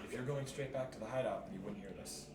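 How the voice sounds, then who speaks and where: A man's voice: lowish voice, fast talker, recorded from a distance, heavy background noise. A man narrating a tabletop roleplaying game as the game master. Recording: roomy sound, loud music behind.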